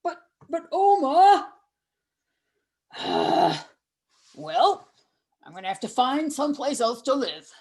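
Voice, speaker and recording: Woman as a hungry storybook troll: folksy drawl, very slow, bit higher than expected. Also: wildly swooping pitch, a little mellow, full character voice. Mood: grumbling. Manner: drawling, folksy, chuckling to himself. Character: grumpy hungry male troll under a bridge. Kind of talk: storytelling with voices.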